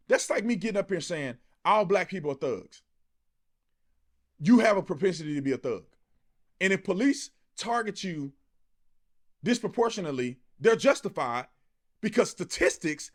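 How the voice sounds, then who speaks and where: An American man speaks in a high voice that swings widely in pitch. He delivers opinionated video commentary emphatically, in colloquial phrasing with rhetorical challenges. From United States. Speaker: online political commentator. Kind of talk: impassioned opinionated video monologue.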